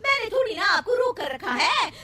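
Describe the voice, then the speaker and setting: A woman's voice: very high voice, big pitch swings, normal speed. A woman voicing a cheeky, long-winded young daughter-in-law in a cartoon story, rambling in circles with mock modesty. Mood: chatty, defensive. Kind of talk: Hindi cartoon moral story acting. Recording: distant mic, faint noise.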